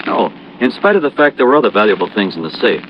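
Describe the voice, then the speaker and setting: An American actor playing an earnest, trusting, slightly anxious insurance office manager in a 1950s radio drama. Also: male voice, on the low side, sing-song, quick pace. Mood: protesting, earnest. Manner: earnest, explanatory, conversational. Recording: dull, noisy old radio recording.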